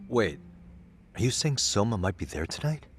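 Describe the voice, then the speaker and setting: A man playing a cool, polite investigator in a video game, speaking in a measured, questioning way. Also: lowish voice, sing-song, soft background music. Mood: curious, composed. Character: cool, polite male investigator, composed and probing. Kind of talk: video game cutscene acting.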